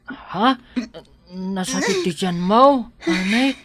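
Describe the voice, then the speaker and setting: Casting: devoted, nervy young husband, bright forceful voice, Ilocano Filipino accent, exclamatory radio drama delivery. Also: male voice, high-pitched, very animated, slow, exaggerated voice. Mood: tender, attentive. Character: devoted, anxious young husband and expectant father.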